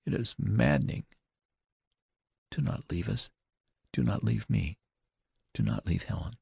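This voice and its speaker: A man's voice: on the low side, a little slow, gravelly, a little mellow, breathy edge, no room sound, clean studio sound, voice pushed up, heavily acted. A man voicing a young frontier woman who begs her brother not to leave, speaking emotionally. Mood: pleading. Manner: imploring, emotional.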